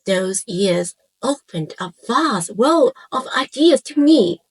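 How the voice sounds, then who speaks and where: A woman in her forties from Hong Kong, a native Cantonese speaker with a Hong Kong accent, narrates a scripted, reflective piece in measured phrases with short pauses. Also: a little high, animated, breathy edge, rough recording. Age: 40s. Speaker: YouTube channel creator and host.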